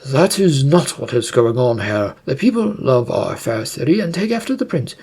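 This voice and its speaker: A performer voicing a large, stern, gruff orc captain loyal to his prince, in a fantasy story. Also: medium pitch, very animated, darkish tone. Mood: indignant. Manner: slow, stern, confrontational.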